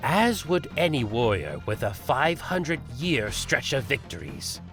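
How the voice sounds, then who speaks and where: Man acting a slow, creaky Shaolin master. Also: medium pitch, huge pitch swings, over music, exaggerated voice. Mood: solemn. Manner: formal, grave, deliberate. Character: solemn old male Shaolin master, grave and measured. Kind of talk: comic dub acting.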